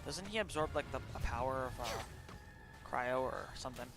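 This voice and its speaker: A man's voice: mid-range voice, lively intonation, moderate pace. A man giving casual, off-the-cuff gameplay commentary as he plays. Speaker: game streamer. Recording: game music behind voice.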